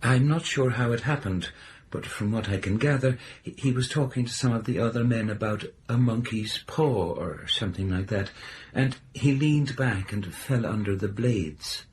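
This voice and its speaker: A man's voice: mid-range voice, normal speed, hardly any pauses, a little hiss or hum, natural voice. Grave, formal company messenger played by a man. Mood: grave, regretful. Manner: formal, hesitant, courteous. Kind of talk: naturalistic radio drama acting.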